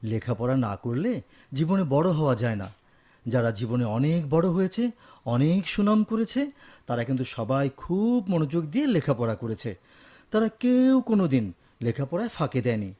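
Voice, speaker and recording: A man's voice: medium pitch, big pitch swings, normal speed, dark tone. Male actor as affectionate son and father, Bangladeshi Bengali, medium register, careful earnest delivery, radio drama. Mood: fatherly, encouraging. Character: gentle, affectionate grown son, husband and young father.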